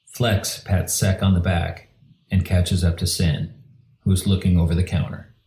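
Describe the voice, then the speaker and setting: A man's voice: deep voice, level tone, measured pace, dark tone. A man reading scripted narration for an audio drama in a roomy recording with music behind him.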